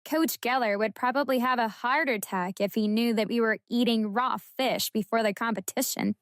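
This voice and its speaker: Woman playing mischievous young best friend for audiobook, chatty, teasing, fairly high voice. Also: moderate pace, no room sound, studio quality, natural voice. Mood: cheerful, chatty. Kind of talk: audiobook character acting.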